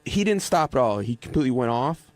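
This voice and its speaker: A man's voice: medium pitch, sing-song, fast talker, no echo. A man who works on a radio show, chatting casually on air. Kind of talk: casual chat.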